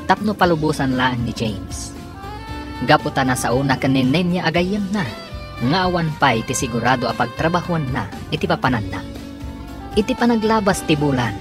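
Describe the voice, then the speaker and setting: A woman with a Filipino accent giving dramatic scripted narration as the announcer of a radio drama. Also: low voice. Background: music bed.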